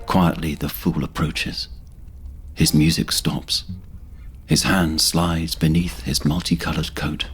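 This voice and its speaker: A man narrating a scripted fantasy audio drama in a measured, descriptive storytelling manner. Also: extremely low pitch, level tone, a bit bright, soft background music.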